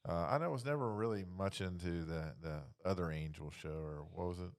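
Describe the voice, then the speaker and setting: A man's voice: low-pitched, fairly quick. A man in casual conversation, leading with questions and hesitantly searching for his words. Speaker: podcast panelist leading the questions. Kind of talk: casual chat.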